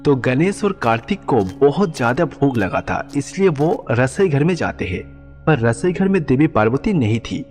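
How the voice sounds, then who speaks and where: A man's voice: mid-range voice, big pitch swings, normal speed, darkish tone. A man narrating a scripted devotional storybook tale as the narrator. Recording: long reverb, background music.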